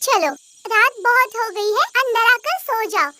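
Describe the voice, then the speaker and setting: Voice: very high-pitched, animated, a little slow. A performer voicing a caring, sensible younger village sister in a cartoon story. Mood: concerned. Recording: clear noise with insect-like night sounds.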